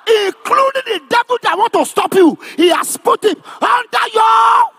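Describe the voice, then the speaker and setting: A man preaching in an emphatic, declamatory charismatic sermon style, often rising to a shout and repeating phrases for emphasis. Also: very high voice, moderate pace. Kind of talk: charismatic sermon with call and response.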